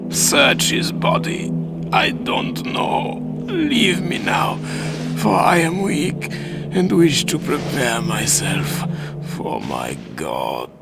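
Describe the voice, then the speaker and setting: An actor playing a dying, resigned soldier in a video game, weak and pained, speaking broken English. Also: male voice, on the high side, wildly swooping pitch, extremely slow, full character voice. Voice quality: gravelly, weak. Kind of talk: dramatic video game acting. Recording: music behind the voice.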